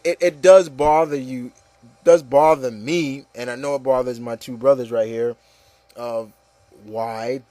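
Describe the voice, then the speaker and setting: A man's voice: mid-range voice, warm tone, no room sound. A man hosting a casual, opinionated discussion, speaking emphatically and repeating phrases to drive points home. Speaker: podcast host.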